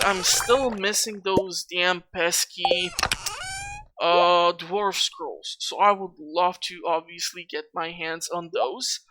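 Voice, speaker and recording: Let's play host, mid-pitched voice, casual rambling commentary, heavy background noise with clicks. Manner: rambling, thinking aloud. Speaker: gameplay host.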